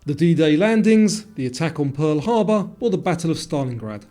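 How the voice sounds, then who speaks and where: A man's voice: on the high side, lively intonation, normal speed, dark tone. A man reading scripted quiz questions and their multiple-choice answers as a narrator. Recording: voice-over with music underneath.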